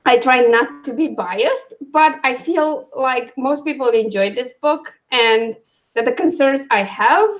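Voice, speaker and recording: A woman hosting a casual livestream book discussion, thinking aloud in halting, broken phrases. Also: high-pitched. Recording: dull, lo-fi sound.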